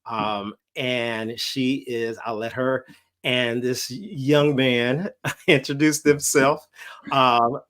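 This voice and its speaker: A man hosts a relaxed conversation with guests. His voice is in the middle of the usual range for a man. He talks in a friendly way, with restarts and repeated words. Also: medium pitch, very slow. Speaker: host. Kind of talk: casual conversation.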